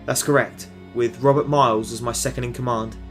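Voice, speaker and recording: A man's voice: medium pitch, fairly even intonation, a little fast, faint music behind, natural voice. An actor playing a stern team leader who gives orders firmly and protects his people. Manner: firm, authoritative, swears when angry.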